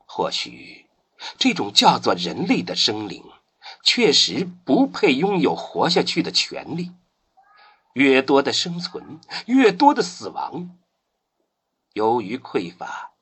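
A man giving expressive, dramatic scripted narration for a radio drama, phrased with deliberate pauses. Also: lowish voice, husky. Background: music.

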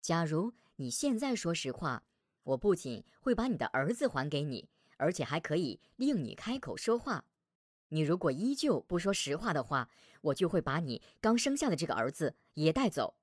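A man voicing a stern yet merciful heavenly holy woman in a storybook tale, speaking in a measured, solemn, admonishing way. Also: fairly high pitch, sing-song, no room sound, studio quality, voice pushed up. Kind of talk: storybook character voice.

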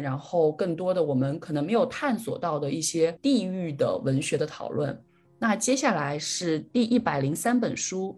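A woman's voice: fairly low pitch, darkish tone, slightly rough. A woman co-hosting a podcast, chatting casually and reading out listener messages in a chatty, easily amused way. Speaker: podcast co-host.

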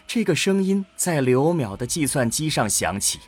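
A man with a husky voice narrating a scripted science-fiction audio drama. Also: fairly high pitch, very animated, moderate pace.

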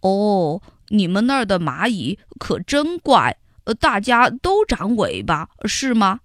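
A woman voicing a friendly, honest little ant who explains things slowly and patiently in a lower voice. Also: very animated, a bit bright, no echo, clean studio sound. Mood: earnest.

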